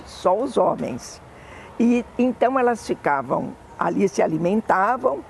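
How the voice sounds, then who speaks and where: An older woman with a Brazilian Portuguese accent, fondly recalling family memories in an oral history interview, often pausing to correct herself. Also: medium pitch, darkish tone, slight background noise. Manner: fond, meandering reminiscing with self-corrections.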